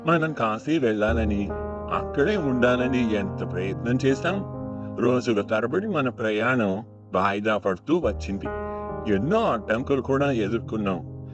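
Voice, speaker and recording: A man playing a repentant, once-greedy village moneylender, explaining himself earnestly and reflectively in a moral story. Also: medium pitch. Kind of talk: dramatized moral story dialogue. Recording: dull lo-fi audio under plucked-string music.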